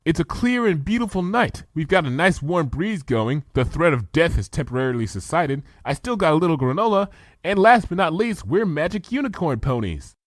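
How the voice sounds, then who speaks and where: A man's voice: fairly high pitch, very animated, normal speed, dry recording, pitched above the performer's own voice. A man voicing an earnest, bookish young female unicorn who talks eagerly for a storybook reading.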